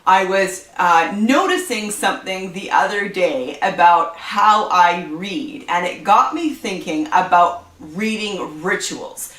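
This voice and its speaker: A woman's voice: lowish voice, slow pace, hardly any pauses, fairly bright tone, roomy sound, distant mic. A woman chatting casually about her reading habits on her book channel, stuffed up from allergies and rambling with lots of fillers. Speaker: book vlogger. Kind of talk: casual chat to camera.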